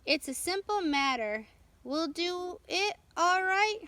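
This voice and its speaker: A woman's voice: extremely high pitch, animated, slow pace, sharp tone, dry recording, voice pushed up, heavily acted. A woman voicing a determined, confident male navigator with a firm manner in a storybook read-aloud. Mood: reassuring. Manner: firm, assured.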